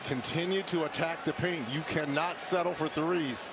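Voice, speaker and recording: Man commentating over loud arena noise. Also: fairly high pitch, steady tone, normal speed. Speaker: basketball broadcast commentator. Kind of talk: live game commentary and analysis. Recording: very noisy arena broadcast. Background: basketball arena noise, bouncing ball.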